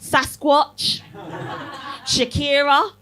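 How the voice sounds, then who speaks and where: A woman's voice: high-pitched, flat delivery, very slow, fairly bright tone, soft background music. A young woman from Barbados performing a cheeky stand-up set in a comedy club, teasing the crowd and laughing through her lines. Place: comedy club, live audience.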